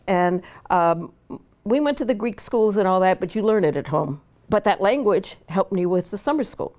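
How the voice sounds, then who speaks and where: Reflective older woman, lowish voice. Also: a little mellow. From Pittsburgh, Pennsylvania. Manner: reflective, unhurried, many fillers and restarts. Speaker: law professor interviewee. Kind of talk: oral history interview.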